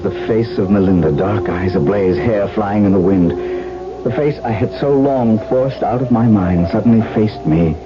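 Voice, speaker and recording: An actor playing a brooding, haunted aristocratic husband in a 1970s radio drama, speaking formally and earnestly. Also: male voice, lowish voice, lightly acted. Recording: dull vintage radio broadcast, music behind.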